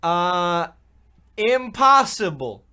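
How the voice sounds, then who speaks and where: A man playing a naive, blunt young boy in a comedic radio play, halting and deadpan. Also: high-pitched, smooth, exaggerated voice.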